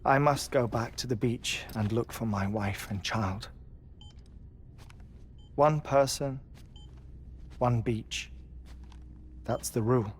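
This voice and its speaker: An actor playing a gentle, obsessive male scientist with a weak heart, who talks in a soft, thoughtful, deliberate way. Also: medium pitch, slight background noise, lightly acted. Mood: musing. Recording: game cutscene with music behind.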